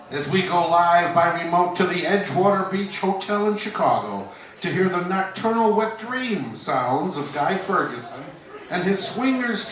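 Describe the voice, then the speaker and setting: A man playing a grandiose 1940s radio announcer in a parody of old-time broadcasts. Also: high voice. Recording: echoey distant sound, music underneath.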